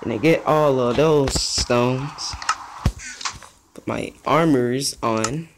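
A young man giving casual, rambling commentary over his own gameplay, chatty and easily excited. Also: medium pitch, slow pace, background noise. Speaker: gaming youtuber.

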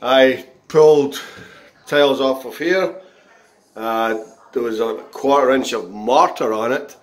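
A man reviewing a contractor's work in a handheld phone walkthrough of a half-tiled bathroom, speaking in a halting, critical way with many pauses. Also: medium pitch. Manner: slow, halting, frequent pauses and restarts; disgruntled, critical. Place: bathroom under renovation, indoors. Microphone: phone camera held in hand.

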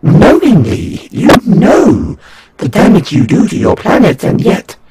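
A man voicing a condescending alien angel envoy in an audio roleplay, speaking in a halting, solemn, formal way with a breathy, husky voice. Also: high-pitched, huge pitch swings, a little mellow, full character voice. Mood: grave, persuasive. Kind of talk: scripted audio roleplay monologue.

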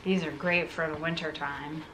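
A woman's voice: low voice, fairly even intonation, normal speed, background noise. A woman in her thirties talking casually to camera as she hosts an unboxing vlog, musing aloud in a conversational way. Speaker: content creator, video host. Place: living room, indoors.

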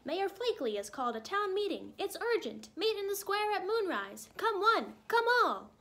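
A woman's voice: extremely high pitch, big pitch swings, normal speed, sharp tone, pitched above the performer's own voice, heavily acted. A woman voicing a majestic male albatross from a storybook, squawking out urgent proclamations like a town crier. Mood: urgent, proclaiming.

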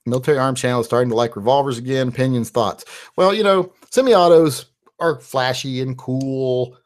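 A man's voice: mid-range voice, moderate pace, a little mellow. A man in his forties from West Virginia, hosting a live-stream Q&A and answering viewer questions in a loose, rambling way with lots of "uh" fillers, recorded on a studio mic in a small home studio. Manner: rambling and conversational, with frequent "uh" fillers. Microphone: large studio mic on a boom, headphones.

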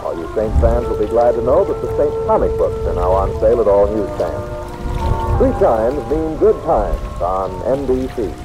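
A man's voice: fairly low pitch, poor audio. An American man announcing on a 1950s radio broadcast in a polished, formal, promotional manner. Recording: vintage radio broadcast recording. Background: music, rain ambience.

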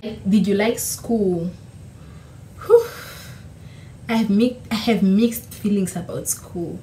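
A woman's voice: mid-range voice, slow, warm tone. A young woman from Namibia, whose first language is Oshiwambo, answering questions in a casual, chatty vlog, laughing and correcting herself. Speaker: YouTuber. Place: small room at home, indoors. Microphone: camera in front, seated. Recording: echoey room with faint hiss.

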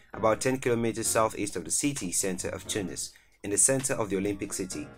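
Low-voiced man reading a countdown script. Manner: even, formal reading with stressed countdown headings. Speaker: narrator of a countdown video. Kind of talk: scripted voice-over narration.

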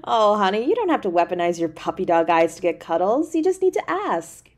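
A woman's voice: mid-range voice, sing-song, natural voice. A woman playing a tender, easily flustered young girlfriend in an intimate audio roleplay, speaking in a hesitant, stammering way. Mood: affectionate teasing. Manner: hesitant, stammering, halting delivery.

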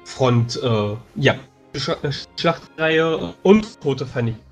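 A man's voice: medium pitch, expressive, slow pace, soft background music. A man playing a tabletop game, proposing tactics while searching for words. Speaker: player. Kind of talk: casual strategy discussion.

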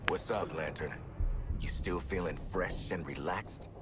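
A smug deep-voiced mercenary. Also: male voice, a little slow. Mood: taunting. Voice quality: smug, taunting. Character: cocky male mercenary gloating. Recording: distant game audio under music.

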